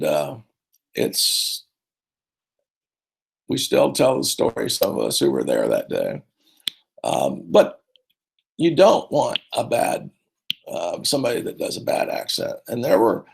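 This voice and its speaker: Gravelly-voiced man coaching teachers. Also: mid-range voice. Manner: rambling, conversational, many fillers and restarts. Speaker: speech and debate coach presenting at a conference. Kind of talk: conference talk.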